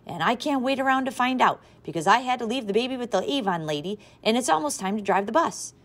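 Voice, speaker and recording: A woman reading aloud voices a frazzled mother who drives a school bus, talking loudly and in exasperation. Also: fairly high pitch, lively intonation, fast talker, pitched above the performer's own voice. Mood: harried. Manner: loud exasperated shrieking.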